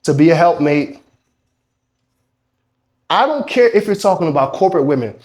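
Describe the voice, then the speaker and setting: A man's voice: on the high side, animated, normal speed, a little mellow. A man with a congested voice from a cold, coaching men in an emphatic, preachy talk that swears freely. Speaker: dating coach. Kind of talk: motivational talk to camera.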